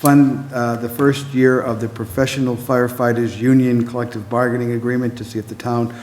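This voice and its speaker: A man reading formal articles aloud at a committee meeting in a flat, even manner. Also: medium pitch, fairly quick. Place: town meeting room. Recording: echoey room with long reverb and faint hiss.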